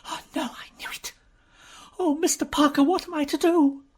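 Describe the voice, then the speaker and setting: A woman voicing a distraught young noblewoman with a breathless, pleading, high voice. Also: animated, normal speed, husky. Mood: frantic.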